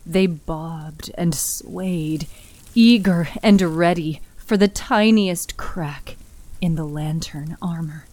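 A woman giving a dramatic scripted narration of a horror story in a grave, breathy manner, often dropping to a near whisper. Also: on the low side, mellow.

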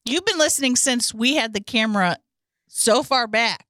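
A woman's voice: fairly high pitch, normal speed, sharp tone, dry recording, studio quality. A woman co-hosting a podcast in casual chat, chiming in with short, playful, teasing remarks. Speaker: podcast co-host.

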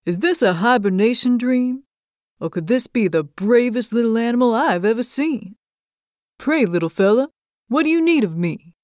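A woman voicing a big, kindly male bear who speaks gently and sympathetically. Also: fairly high pitch, lively intonation, moderate pace, no echo, studio quality, pitched above the performer's own voice, natural voice. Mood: curious.

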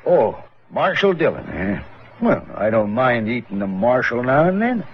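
A cocky, menacing male gunfighter in an old radio Western. He taunts and brags, on a dull recording with a clearly noisy background. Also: mid-range voice, wildly swooping pitch, measured pace. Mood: boastful, cold. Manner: taunting, boastful. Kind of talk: 1950s Western radio drama acting.

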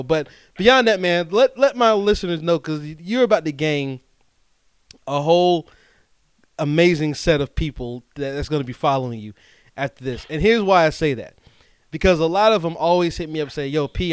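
A man's voice: on the high side, clean tone, no room sound, clean studio sound. A man hosting his show, enthusiastic and hyping up his guest in casual chat. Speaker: podcast host.

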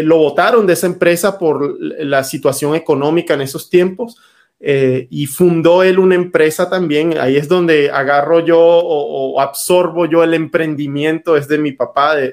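Guy, slightly high voice, stops and restarts a lot while telling his story. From Venezuela. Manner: halting, many fillers and restarts. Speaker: guest, startup founder. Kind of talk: conversational interview, storytelling.

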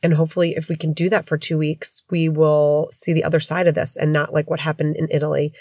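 A woman's voice: deep voice, little pitch movement, quick pace, darkish tone, no echo, studio quality. A middle-aged woman with a stuffy head cold, chatting candidly as a podcast host from home. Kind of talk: casual podcast chat.

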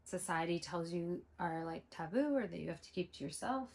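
Earnest woman in her forties, lowish voice. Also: animated, a little fast, creaky, a little mellow. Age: 40s. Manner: open, earnest, reflective. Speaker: podcast co-host. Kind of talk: casual conversation.

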